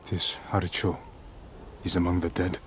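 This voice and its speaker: A man playing a stoic, loyal samurai lord in a game drama, speaking quietly and solemnly in short phrases. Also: deep voice, completely flat delivery, unhurried, slight background noise. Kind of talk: dramatic video game cutscene acting.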